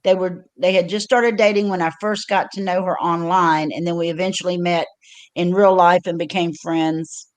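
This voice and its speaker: A woman's voice: lowish voice, steady tone, normal speed. Rambling woman chatting on a livestream. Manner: rambling storyteller with many fillers and restarts. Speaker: livestream host. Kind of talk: casual chat.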